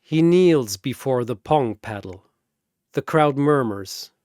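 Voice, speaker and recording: A man's voice: medium pitch, lively intonation, takes it slowly, a little mellow, dry recording, clean studio sound. A man narrating a scripted fantasy battle story in a dramatic storytelling style.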